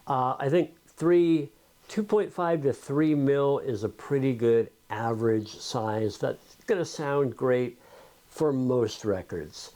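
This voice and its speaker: A man's voice: mid-range voice, takes it slowly, dark tone. A man hosting a video, talking informally to viewers in an explanatory, conversational tutorial style. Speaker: video host.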